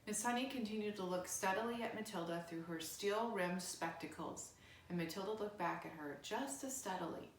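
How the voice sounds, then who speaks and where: A woman's voice: medium pitch, normal speed, slight background noise. A woman reading a children's novel aloud as scripted narration. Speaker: storybook reader. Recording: roomy, echoey indoor sound.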